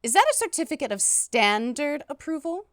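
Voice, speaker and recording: A woman voicing a fussy, officious, easily scandalized female inspector in an animated comic dub. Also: high-pitched, moderate pace, dry recording, clean studio sound. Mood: officious, condescending. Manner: officious, pedantic, flustered outbursts. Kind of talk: animated fandub voice acting.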